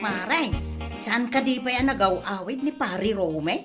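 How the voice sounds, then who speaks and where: A woman's voice: mid-range voice, lively intonation, normal speed, music in the background, lightly acted. A woman acting a gossipy village neighbour in an Ilocano comedy radio drama, with a Filipino Ilocano accent. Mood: gossiping.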